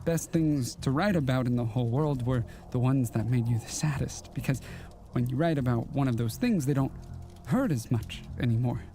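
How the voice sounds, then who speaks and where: An actor in an audio drama playing a ghostly, wistful killer from the 1940s, with halting, old-fashioned courteous speech and quiet menace. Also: male voice, medium pitch, faint music behind, lightly acted. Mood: melancholy, probing.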